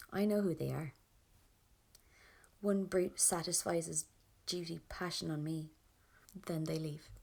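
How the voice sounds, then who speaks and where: A young Irish woman telling her bitter story in an audio drama. Her voice is lowish and creaky, her Irish-accented delivery stark and restrained. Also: full character voice. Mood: wounded, subdued.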